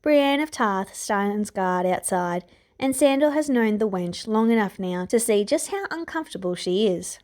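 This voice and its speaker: A woman's voice: mid-range voice, lively intonation, moderate pace, darkish tone. A woman narrator reading a scripted piece of fiction aloud. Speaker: podfic narrator.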